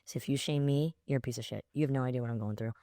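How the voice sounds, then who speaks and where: An American woman in her forties co-hosts a casual chat in a low-pitched voice. She is blunt and emphatic in how she puts things. The sound is dry, with very little room in it. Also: racing, warm tone, no room sound. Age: 40s. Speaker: podcast co-host.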